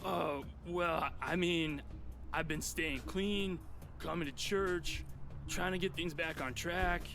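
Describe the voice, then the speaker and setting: Video game junkie, male: high, gravelly, twitchy and hesitant, a desperate addict. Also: slow. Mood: nervous, evasive. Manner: hesitant, stammering. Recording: music behind the voice.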